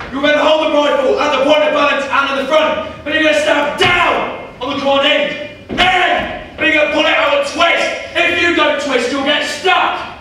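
A man playing a gruff army drill sergeant who barks orders and insults recruits, performing live before an audience. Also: very high voice, a little hiss or hum. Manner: barking orders, insulting, shouting. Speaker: reenactment performer. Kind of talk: live historical drill demonstration. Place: large indoor hall. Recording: echoey hall from far off, crowd.

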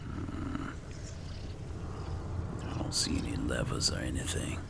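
A man with a gravelly voice giving casual gameplay commentary, muttering to himself as he thinks aloud. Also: fairly even intonation, takes it slowly. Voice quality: gravelly, very low voice. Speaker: video game player and narrator. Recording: noisy recording with game ambience.

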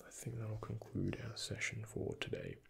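A young man speaking in a breathy, hushed ASMR whisper, gently and unhurriedly, close to the microphone as in a doctor roleplay. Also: fairly low pitch, huge pitch swings, moderate pace. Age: young adult. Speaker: ASMR creator playing a doctor. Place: indoors, close before a dark backdrop.

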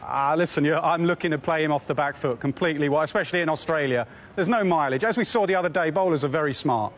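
Male commentator; fast, emphatic, slightly high; faint ambience. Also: a little hiss or hum. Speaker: commentator, pundit. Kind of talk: studio punditry.

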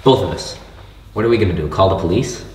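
A young man playing a deadpan nihilist student. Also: low voice, quick pace, close to an everyday voice. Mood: detached. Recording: roomy, distant, light noise.

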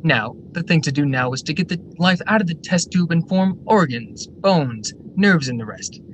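An actor playing a brisk, eager factory general manager in a radio drama, formal and articulate. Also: male voice. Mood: eager storytelling. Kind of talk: stage-style radio drama acting. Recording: noisy radio drama recording, steady rumble.

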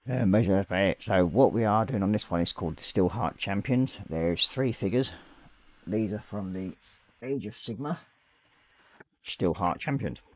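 A man in his forties with a slightly croaky voice, casually chatting on a live stream and reading out viewer messages as he paints. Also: lowish voice, moderate pace, dry recording. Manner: reads chat messages aloud, relaxed and rambling. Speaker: livestream host. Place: small hobby room at home.

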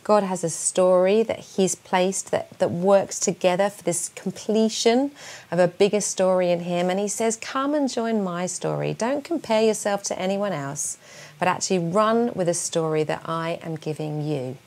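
A woman's voice: lowish voice, moderate pace, darkish tone. A woman in her forties giving an earnest, encouraging devotional talk and prayer to young people, recorded on a lapel mic in a small room. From England. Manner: earnest, encouraging, speaks with open hand gestures. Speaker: speaker giving a keynote talk. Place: small room at home, indoors.

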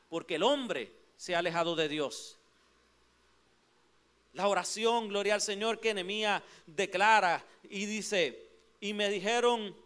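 A man's voice: sits high, a bit bright, clear, resonant tone. A man in his thirties with a Puerto Rican accent, preaching a fervent sermon from a church pulpit into a handheld mic. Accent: Puerto Rican Spanish. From Puerto Rico. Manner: fervent preaching, frequent "gloria al Señor" refrains. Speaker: pastor.

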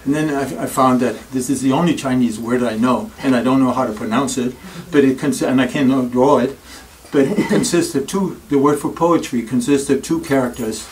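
Older Danish-accented male lecturer, wry and reflective; far-off camera audio with faint noise. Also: mid-range voice. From Denmark. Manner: thoughtful and wry, with pauses and self-deprecating asides. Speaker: speaker giving a library talk. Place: wood-paneled library room. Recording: distant room recording with faint noise.

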